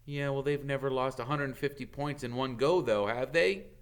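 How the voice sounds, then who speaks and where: A man's voice: mid-range voice, normal speed, clear, resonant tone, voice pushed up, close to an everyday voice. A man voicing a troubled, downcast schoolboy, gloomy but insistent. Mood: miserable. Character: troubled, downcast schoolboy arguing his point.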